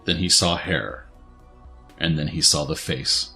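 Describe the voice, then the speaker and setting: A man's voice: extremely low pitch, a little slow, creaky, bright, forceful tone, faint music behind. A man narrating a scripted dramatic story as the narrator of an audio drama.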